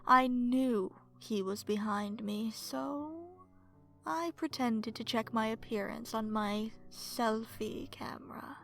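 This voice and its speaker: A woman playing a seductive, smug and predatory vampire in an ASMR horror story, speaking in a sultry, breathy way that slips into whispers. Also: on the high side, takes it slowly, a bit bright, faint music behind, heavily acted. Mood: sultry scheming. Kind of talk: ASMR horror story narration in first person.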